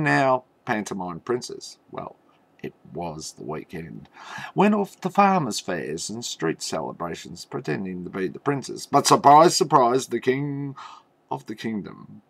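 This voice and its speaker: A man telling a mock fairy tale in a theatrical, tongue-in-cheek way, with halting pauses and knowing asides. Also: fairly low pitch, creaky, no echo. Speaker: storyteller.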